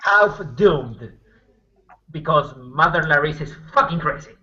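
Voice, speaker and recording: A man with a highish, bright and tense voice plays through a roleplay scene. He narrates casually, halting and sardonic, swearing freely. The sound is lo-fi and dull in band. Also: lively intonation. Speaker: tabletop roleplayer.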